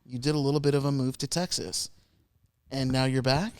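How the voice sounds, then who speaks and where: A man's voice: medium pitch, fairly even intonation, brisk, clear, resonant tone. A man hosting a podcast, asking questions in a chatty, agreeable way. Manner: chatty, agreeable, many "right"s. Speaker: podcast host. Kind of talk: casual podcast interview.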